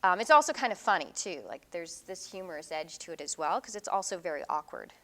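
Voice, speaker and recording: A woman's voice: lowish voice, expressive, fast talker, a bit bright. A middle-aged woman giving an artist talk about her work, speaking casually and thoughtfully with frequent ums and restarts. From Canada. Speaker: visiting artist giving a lecture.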